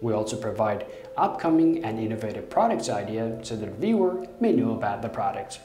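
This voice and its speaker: A man's voice: on the low side, big pitch swings, fairly quick, warm tone, slight background noise. A young man narrating a scripted product review voice-over, reading with uneven stress and occasional slips.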